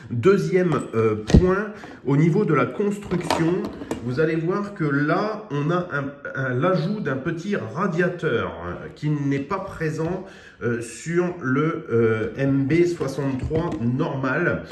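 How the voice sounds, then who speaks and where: A man's voice: on the high side, lively intonation, slow, reverberant, a little hiss or hum. A man giving a casual product review of a radio, with lots of fillers and restarts. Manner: hesitant, many fillers and restarts.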